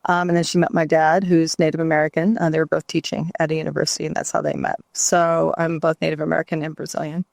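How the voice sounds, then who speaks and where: Soft-spoken middle-aged woman, reflective and gentle, lots of ums, lowish voice, dry close sound. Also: level tone, fast talker, gravelly, few pauses, no echo. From New Mexico, US. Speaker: guest, somatic therapist and author. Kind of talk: candid interview conversation.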